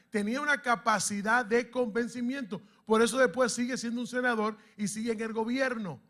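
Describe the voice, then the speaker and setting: A male preacher with a notably high-pitched voice. He teaches emphatically from the pulpit and keeps asking his congregation questions as he goes. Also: sharp tone. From Dominican Republic and Puerto Rico. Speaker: pastor.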